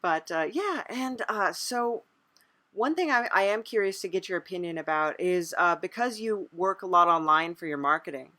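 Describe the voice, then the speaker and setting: A woman hosting a casual interview in an agreeable, somewhat halting way. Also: lowish voice, lively intonation, pushed and bright, no echo. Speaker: podcast host, interviewer.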